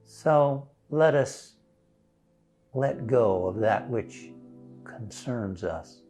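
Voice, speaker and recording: A man leading a guided meditation as a minister, speaking in a gentle, soothing, unhurried way with long pauses. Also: medium pitch, lively intonation. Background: instrumental music.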